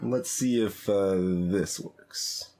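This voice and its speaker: A man's voice: deep voice, drawn-out words, warm tone. A man giving casual live commentary as he plays a game, hesitantly thinking aloud. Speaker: streamer playing a game. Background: game music.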